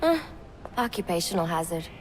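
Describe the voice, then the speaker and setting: An actress playing a tough, defiant, unfazed hostage in a video game, speaking bluntly and briskly. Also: female voice, on the low side, very animated. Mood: defiant, matter-of-fact. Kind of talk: video game character acting. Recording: long echoing hall, background noise.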